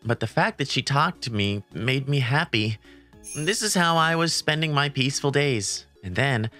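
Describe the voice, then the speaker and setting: A woman's voice: rumbling low, lively intonation, moderate pace, a bit bright. A woman voicing a timid, kindhearted teenage boy who narrates his story and stammers when flustered, in a manga comic dub. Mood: wistful narration. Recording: background music under the voice.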